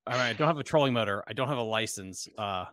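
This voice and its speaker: A man in his thirties with a lowish voice hosts a group podcast. He speaks casually, in conversation with his guests. The sound is dry, from a close desk microphone. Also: fast, a bit bright, dry recording. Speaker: podcast host.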